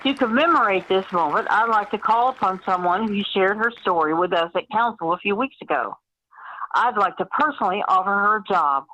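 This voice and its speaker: A woman's voice: medium pitch, a little fast, sharp tone, lo-fi sound. A woman acts out a self-assured city mayor in a radio play. She speaks in polished, upbeat political phrases, as if addressing the public from a podium. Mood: proud, rallying. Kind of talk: amateur radio play acting.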